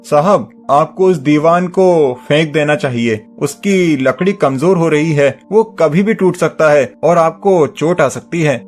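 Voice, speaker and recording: An actor playing a humble, honest poor village carpenter, deferential and pleading, in a dramatized moral story. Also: male voice, on the high side, a little slow, few pauses, faint music behind, natural voice. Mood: earnest.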